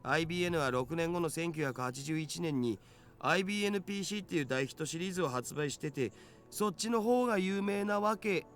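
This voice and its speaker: Man voicing a fast-talking geeky young otaku guy. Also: fairly high pitch, natural voice. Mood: geeky, explanatory. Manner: rattling off explanations, slangy. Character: geeky young male net-savvy otaku, casual know-it-all. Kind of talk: Japanese visual novel voice acting. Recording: game dialogue with music behind.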